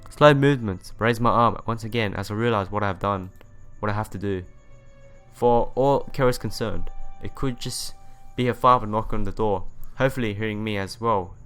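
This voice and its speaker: A man's voice: on the low side, moderate pace, a bit bright, clean tone, faint music behind. A man narrating a visual novel in an earnest, dramatic read-aloud manner. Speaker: let's play narrator.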